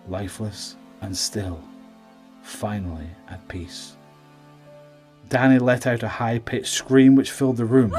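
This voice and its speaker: A man narrates an audio drama in a low voice. His delivery is scripted and dramatic, and music plays behind him.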